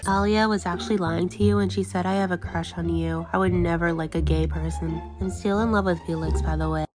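Woman as a blunt, lowish-voiced cartoon teenage girl. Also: fairly even intonation, fairly quick, music in the background, lightly acted. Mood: flat, dismissive. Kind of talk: parody fan dub of a cartoon.

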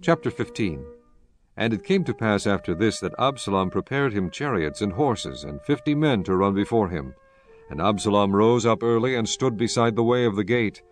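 A man giving scripted Bible narration in a measured, solemn manner. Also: fairly low pitch, lively intonation, soft background music.

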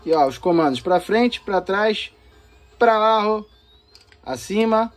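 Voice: medium pitch, animated, a little slow, faint music behind. A vlog host with a Brazilian accent and an informal, playful manner, casually talking viewers through hands-on testing of game consoles in slangy speech. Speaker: host of a gaming video channel.

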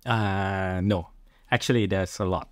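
A man's voice: low-pitched, fairly even intonation, unhurried, no echo, clean studio sound. A middle-aged man from Thailand with a Thai accent, answering casually as an interview guest, often searching for words. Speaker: podcast guest, film director.

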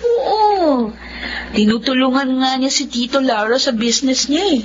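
A woman's voice: high voice, animated, measured pace. A woman playing a friendly, chatty young woman in Filipino radio drama style. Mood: proud, friendly. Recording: dull audio with clear noise.

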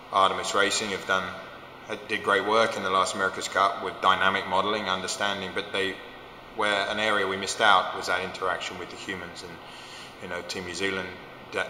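Male exec, 40s, low flat delivery, crisp edge, roomy hall echo, faint hum. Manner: measured, explanatory, with fillers. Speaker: company chief executive. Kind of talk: corporate video interview. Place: large indoor simulator hall.

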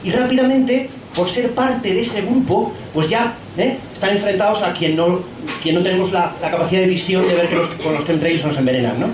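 A man's voice: high-pitched, brisk, far from the mic. A man offering an informal reflection from the audience, hesitant, rephrasing and adding tag questions as he goes. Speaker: audience member. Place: pub event room, indoors. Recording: echoey room from afar, clear background noise.

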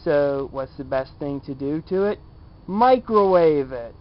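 Man, slightly high voice, unhurried, jokey vlog host, casual narration. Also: lively intonation. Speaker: video show host. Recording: dull lo-fi camera audio, background noise.

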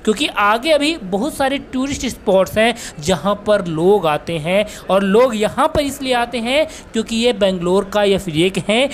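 A man's voice: high-pitched, slight background noise. A man giving a chatty walking-tour narration as a travel vlogger, often rephrasing himself as he goes.

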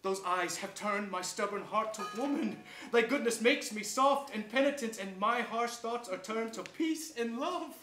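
A scheming, self-satisfied villain duke of classical theatre. The voice is high-pitched, bright and forceful, declaimed in grand verse across an echoey stage. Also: male voice, animated, moderate pace, few pauses, faint music behind. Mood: feigned tender penitence. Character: scheming, gloating villainous duke who feigns penitence. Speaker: stage actor. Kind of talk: theatrical Shakespearean stage reading. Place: stage in a theatre hall, distant camera.

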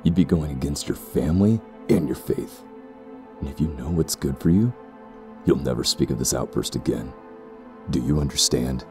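A man's voice: extremely low pitch, moderate pace, music in the background. A man playing a cold, condescending and ruthless warrior clansman in an audio roleplay, speaking in a haughty, formal way. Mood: threatening. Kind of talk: scripted audio roleplay acting.

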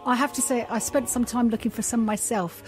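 Chatty woman on a radio panel, talks fast, gushes a bit, slightly high voice. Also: steady tone, a little mellow. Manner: chatty, effusive. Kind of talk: casual radio banter. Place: radio studio. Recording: music bed under the voice.

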